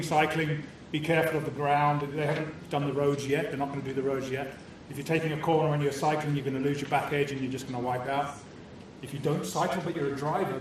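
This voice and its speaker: A man hosting a club meeting, cheerful and bantering as he opens the evening with jokes and a safety talk. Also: a little high. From new Canadian citizen. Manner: cheerful, bantering, self-deprecating. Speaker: meeting host opening a club meeting. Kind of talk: jokey public-speaking address to a club audience. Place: meeting room with a live audience. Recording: echoey room, far-off mic, noisy.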